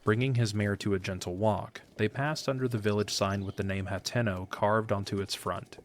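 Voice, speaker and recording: Low-voiced man narrating a fantasy story. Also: steady tone, moderate pace, slight background noise. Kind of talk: scripted audio drama narration.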